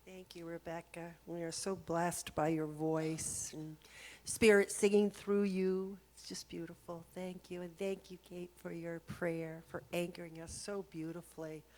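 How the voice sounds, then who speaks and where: A woman minister giving grateful, warm remarks during a church service. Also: on the low side, little pitch movement, unhurried.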